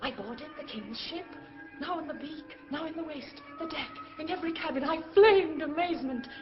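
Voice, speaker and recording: A very high, airy spirit voice acted by a woman, eager and exultant, serving a master. The verse is declaimed in full theatrical audio-drama style. The recording is slightly muffled, with music behind it. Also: normal speed, lightly acted.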